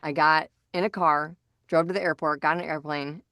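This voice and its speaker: A woman's voice: low voice, fairly even intonation, normal speed, pushed and bright, no room sound. A middle-aged woman hosting a casual podcast, talking in a chatty, informal way. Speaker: podcast host.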